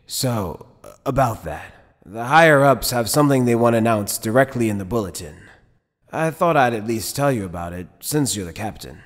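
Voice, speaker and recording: A man playing an easygoing but shrewd middle-aged head captain in an anime fan dub, speaking in a measured, wry, casual way. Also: mid-range voice, expressive, lightly acted. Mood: unhurried. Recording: long hall reverb.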